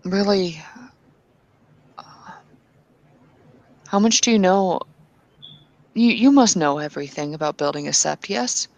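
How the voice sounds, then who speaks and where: A woman's voice: on the low side, normal speed, a little mellow, dry recording, close to an everyday voice. A woman playing a blunt, impatient woman in an in-character tabletop roleplay, speaking in hesitant bursts that turn opinionated. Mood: questioning.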